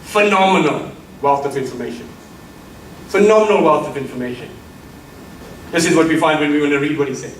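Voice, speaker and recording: A man's voice: a little high, brisk, long pauses, slight background noise. A middle-aged South African man giving an emphatic keynote lecture, addressing the audience as colleagues. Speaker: keynote speaker. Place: lecture hall. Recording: roomy echo from far mic, faint ambience.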